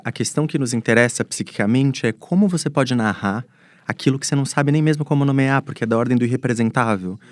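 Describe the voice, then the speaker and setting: A man's voice: medium pitch, a little mellow, no echo. A Brazilian man giving a reflective expert explanation, fluent and didactic, with rhetorical turns. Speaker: psychology professor, guest expert.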